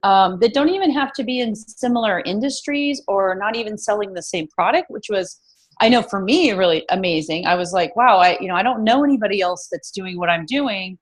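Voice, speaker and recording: A woman's voice: mid-range voice, brisk. Woman host, froggy voice, lots of ums and you knows, casual chat. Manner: chatty, many fillers and restarts. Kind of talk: casual interview.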